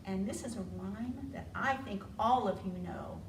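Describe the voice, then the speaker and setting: A woman's voice: medium pitch, normal speed. A woman hosting storytime for young children, coaxing them along with questions as she tells stories and rhymes. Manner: coaxing, asking the listeners questions. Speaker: children's library storytime host. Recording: echoey room, distant mic, clear noise.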